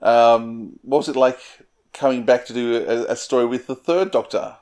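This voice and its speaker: A man's voice: medium pitch, steady tone, normal speed, darkish tone. A man interviewing a guest in a casual, conversational and slightly hesitant way. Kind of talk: casual interview questions and commentary.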